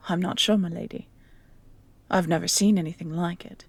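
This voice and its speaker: A woman's voice: fairly low pitch, steady tone, moderate pace, gravelly, slightly rough, no echo. A woman playing a dutiful, nervous leader of huntsmen in a fantasy audio drama, formal, uneasy and deferential. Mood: uneasy, reporting.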